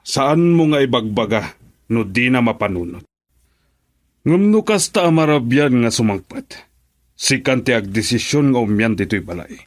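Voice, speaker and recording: Stern, disapproving older father male actor for radio drama: domineering, animated, mid-pitched voice. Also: moderate pace, close to an everyday voice. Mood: stern, scornful. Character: stern, disapproving father of a grown married daughter. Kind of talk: Ilocano radio drama acting.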